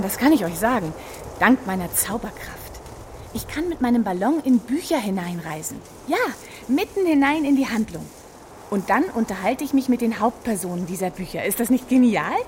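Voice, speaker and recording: A woman playing an adventurous, chatty hot-air balloon reporter in a children's radio play, speaking with lively expression. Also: a little high, slight background noise, natural voice. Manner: lively, expressive storytelling to young listeners.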